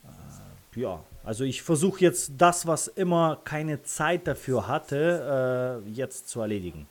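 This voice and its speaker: A man with a Russian accent, a native Russian speaker, answering interview questions casually in halting, self-correcting phrases. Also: medium pitch, very animated. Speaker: interview guest, freelance photographer and videographer.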